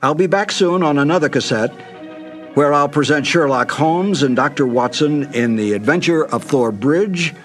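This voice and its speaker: A man's voice: a little high, moderate pace, faint music behind. An older American man reading a scripted announcement as a programme presenter. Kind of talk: scripted narration.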